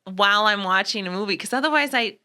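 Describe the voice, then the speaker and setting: Woman podcast cohost, young sounding, rambly and giggly, bright voice. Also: fast, clean tone. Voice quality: youthful voice she tries to pitch down. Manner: chatty, laughs often, rambles with restarts. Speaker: podcast co-host. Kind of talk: casual chat.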